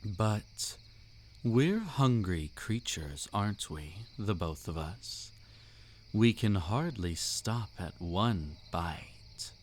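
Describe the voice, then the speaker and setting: A man acts out a seductive, commanding vampire count in an intimate audio drama. He speaks in a lowish register at a very slow pace, with suave, teasing formality and a possessive air. Faint night-time cricket noise sits behind the voice. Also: expressive. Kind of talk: intimate roleplay audio drama, scripted monologue.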